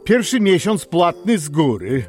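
Period radio drama: male actor as affable, shrewd middle-aged pharmacist, unhurried, animated pitch, faint music behind. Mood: satisfied, bargaining. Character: affable, money-minded small-town pharmacist and landlord, father.

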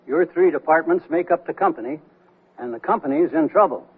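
A man's voice: on the high side, brisk, slightly rough, narrow, tinny audio. An actor playing a wry, self-assured company troubleshooter in a radio comedy, telling his story with dry, pointed delivery. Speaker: narrator and lead character. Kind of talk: radio comedy acting with first-person narration. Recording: band-limited radio broadcast with music.

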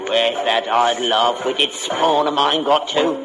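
A man's voice: medium pitch, unhurried, over loud music, close to an everyday voice, poor audio. An actor playing a bitter, self-pitying old father, whining in vintage British radio comedy style. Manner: whining, complaining.

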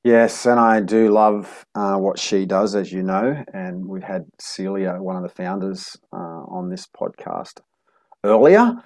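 A man's voice: deep voice, fairly even intonation, measured pace, a little mellow. A man hosting a podcast, speaking in an upbeat, effusive way as he introduces and interviews. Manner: effusive, piles up adjectives.

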